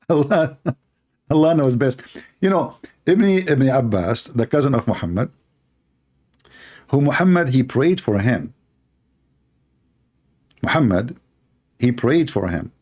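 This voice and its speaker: A man's voice: mid-range voice, animated, takes it slowly, pauses often, a little mellow. Sarcastic man speaking non-native English. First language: Arabic. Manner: sarcastic, laughs often. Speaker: online debater. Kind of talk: mocking commentary.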